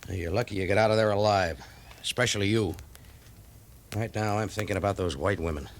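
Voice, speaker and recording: A man playing a level-headed army major in 1950s western radio acting, firm and measured as a superior officer. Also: on the low side, close to an everyday voice. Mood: grave, firm. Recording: noisy 1950s radio drama recording.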